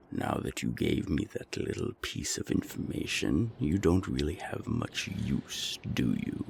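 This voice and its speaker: A man playing a menacing naga gang boss in an audio roleplay, gravelly and deliberate, with cold, taunting threats. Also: darkish tone, a little hiss or hum, exaggerated voice. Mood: quiet possessive menace. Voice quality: very deep, gravelly. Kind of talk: audio roleplay drama acting.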